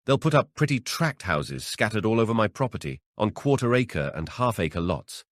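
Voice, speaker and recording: A man performs a gruff old country patriarch from a horror audiobook. He is ornery and paranoid, telling his tales with cranky emphasis. The sound is dry and close. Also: fairly low pitch, big pitch swings, normal speed, no echo, pitched above the performer's own voice. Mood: ominous, gloating. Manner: cranky, emphatic, swearing storyteller. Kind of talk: dramatic audiobook character voice.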